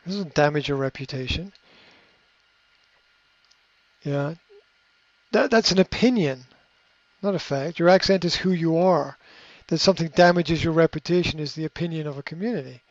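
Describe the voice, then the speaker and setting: A man speaking in a fairly high voice, coaching in an unscripted, reflective way. He pauses with fillers and restarts sentences as he thinks. The recording is dry and a little muffled. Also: dry recording. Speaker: coach answering a question. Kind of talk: unscripted advice talk.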